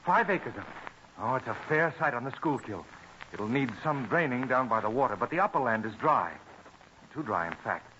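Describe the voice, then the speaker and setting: An actor playing an eager, idealistic colonial farmer and botanist in old-fashioned radio drama, earnest and dreamy in speech. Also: male voice, medium pitch, animated, moderate pace, sharp tone. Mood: excited, eager. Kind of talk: mid-century radio drama acting. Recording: dull old radio recording, faint noise.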